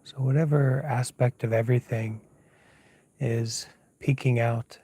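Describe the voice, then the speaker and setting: A man speaks as a meditation teacher in a dark, mellow voice with little rise or fall. He is hushed and very unhurried, leaving pauses between short phrases. The delivery is soft, slightly husky and gently instructive. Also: mid-range voice, fairly even intonation. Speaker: Buddhist monk, meditation teacher. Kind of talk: unscripted guided meditation. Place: outdoors at night, lying down under a mosquito net.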